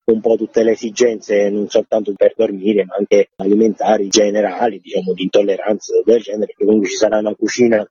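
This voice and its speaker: A man's voice: on the low side, flat delivery, brisk, hardly any pauses, mellow, husky, dry recording. A man giving informal, explanatory interview answers as an organizer, talking in long, loosely linked sentences. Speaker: interviewed organizer of a farmers' gathering. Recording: dull, muffled lo-fi radio sound.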